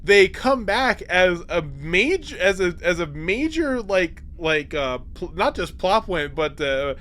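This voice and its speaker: A man hosting a podcast, giving a casual, comedic episode recap with halting, repetitive, sweary phrasing. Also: sits high, big pitch swings, a bit bright. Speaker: podcast host.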